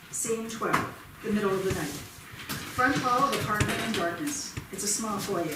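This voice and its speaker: A woman's voice: medium pitch, lively intonation, moderate pace. A woman giving scripted narration as the stage directions reader at a play reading. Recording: echoey theatre, distant mic, noisy.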